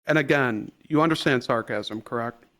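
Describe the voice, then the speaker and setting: A man improvising as an attorney in a roleplay trial, pressing a witness with halting, repetitive questions. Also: medium pitch, a bit bright. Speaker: attorney cross-examining a witness.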